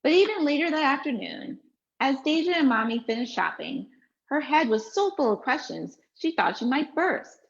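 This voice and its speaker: Expressive woman with a gravelly voice. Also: high voice, normal speed. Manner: expressive storytelling, relaxed with fillers when answering. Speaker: children's book author reading her story. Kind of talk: read-aloud storytelling, then interview answers. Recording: dull lo-fi recording.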